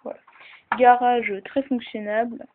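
A woman's voice: a little high, flat delivery, measured pace. A woman chatting casually with lots of fillers as she shows off a toy model at home, filmed on a handheld camera held close. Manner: chatty, hesitant, many fillers. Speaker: toy builder showing a model. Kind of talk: casual show-and-tell narration. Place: indoors at home. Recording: tinny lo-fi camera audio, hissy.

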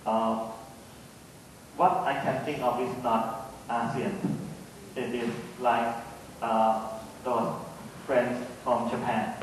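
Man, Thai accent, halting panel talk, very echoey hall, distant pickup. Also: flat delivery. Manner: hesitant, halting delivery with frequent pauses. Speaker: academic panellist. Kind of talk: unscripted panel discussion talk. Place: large conference hall, on stage. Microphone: handheld microphone, picked up by a distant camera. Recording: echoey hall from far off, faint rumbling noise.

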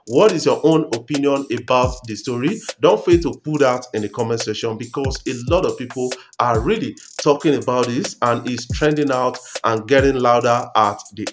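A man in his thirties with a Nigerian accent, giving informal entertainment news commentary to camera as a vlogger. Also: mid-range voice. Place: small room at home, indoors.

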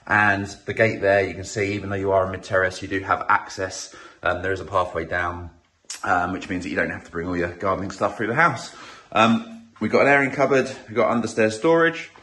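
Croaky estate agent, lower-pitched, upbeat and conversational, many fillers. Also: male voice, a little fast, fairly bright tone. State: slightly croaky voice. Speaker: estate agent giving a property tour. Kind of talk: casual walk-through property tour. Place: inside a small house, walking room to room.

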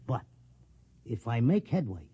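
A man's voice: mid-range voice, sing-song, unhurried, husky, exaggerated voice. An American actor, a man, playing a brash, stubborn racetrack tipster in a 1950s radio drama, plain-spoken and slangy. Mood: insistent. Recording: dull old radio recording, music behind.

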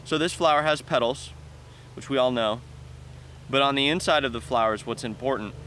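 A young man in his twenties teaches out in an open farm field, speaking into a clip-on mic. He talks at a middle pitch, eager and hands-on as he walks through each step. A faint hum of passing cars sits behind him. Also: fairly quick, a bit bright. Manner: enthusiastic, explains step by step while handling plants. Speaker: farm educator. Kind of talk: outdoor teaching walk-through. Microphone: lapel mic.

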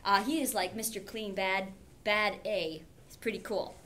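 A woman's voice: mid-range voice, steady tone, moderate pace, pushed and bright. A middle-aged woman teacher reading aloud theatrically in a classroom, with informal explanatory asides. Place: classroom, indoors. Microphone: camera a few metres away. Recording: classroom camera with background noise.

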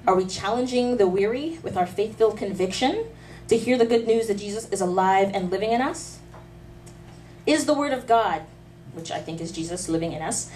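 A woman's voice: mid-range voice, darkish tone, recorded from a distance, low-quality recording. A woman in her thirties, a spoken word artist, gives a testimony talk in a small pub room. Her delivery is earnest and rhythmic, built on rhetorical questions with light asides. The recording picks her up from across the room through a camera mic, with a faint hiss. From Canada. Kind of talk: faith talk with poetry reading. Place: small dim pub room, seated before a live audience. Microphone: handheld mic held close.

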